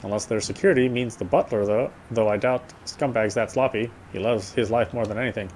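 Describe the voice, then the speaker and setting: A man's voice: fairly low pitch, brisk, a little mellow. A man reading a horror visual novel aloud as playthrough host, brooding and occasionally stumbling over words. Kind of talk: dramatic read-aloud narration of a horror game. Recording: clear background noise.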